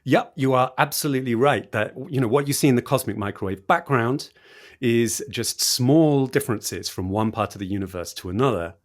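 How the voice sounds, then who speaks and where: A man's voice: medium pitch, normal speed. A man explaining science in conversation as an interview guest, with an enthusiastic manner and repetition for emphasis. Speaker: podcast guest, cosmologist explaining research.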